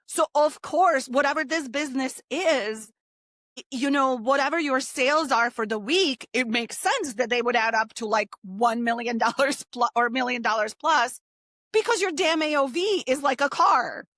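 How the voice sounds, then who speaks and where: Higher-pitched blunt woman, middle-aged. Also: moderate pace, a bit bright, dry recording. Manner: blunt, skeptical. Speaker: podcast co-host, marketer. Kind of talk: casual podcast talk.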